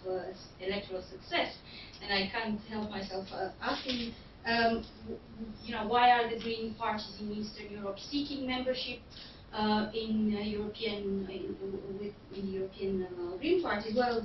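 A woman asking academic questions from the audience, hesitant, with many fillers and restarts. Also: mid-range voice. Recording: distant, roomy, dull and noisy hall recording.

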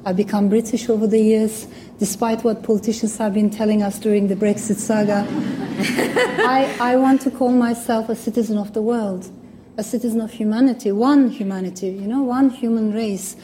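Mellow-voiced woman with a Turkish accent. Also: on the high side, steady tone, normal speed, slight background noise. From Turkey. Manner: thoughtful, earnest, with small restarts. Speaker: guest speaker. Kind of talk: reflective public talk.

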